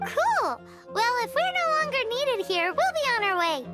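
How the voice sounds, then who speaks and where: A woman's voice: very high-pitched, very animated, normal speed, loud music behind the voice. A woman voicing a tiny, chirpy fairy companion girl who speaks bluntly and refers to herself by name.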